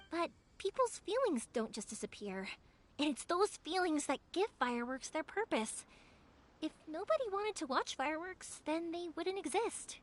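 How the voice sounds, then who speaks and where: A woman playing a cheerful, warm-hearted young firework maker in a video game, chatty and sincere, with a girlish voice. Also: high voice. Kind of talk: video game character acting. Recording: music behind the voice.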